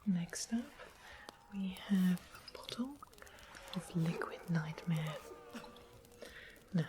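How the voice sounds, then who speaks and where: A woman playing a sweet-mannered witch in a medieval fantasy ASMR roleplay, whispering softly and explaining things in an unhurried, gently wry way. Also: lowish voice, a little hiss or hum. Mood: calm, explanatory. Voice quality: soft breathy whisper.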